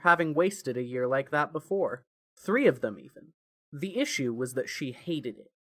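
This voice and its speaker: A woman's voice: very deep voice, no room sound. A woman reading scripted fan fiction as the narrator of an audio story.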